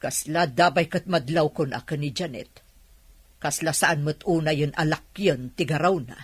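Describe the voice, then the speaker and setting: A woman with a Filipino accent and a husky voice, playing a nosy, watchful workplace figure in a radio drama. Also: low voice, moderate pace, no echo. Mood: watchful, gossipy.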